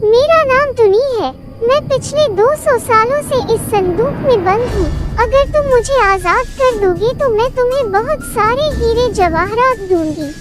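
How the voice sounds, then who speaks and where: A woman's voice: animated, unhurried, few pauses. A woman voicing a trapped young female bird spirit who pleads to be set free. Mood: coaxing. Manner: shrill and pleading. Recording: music underneath.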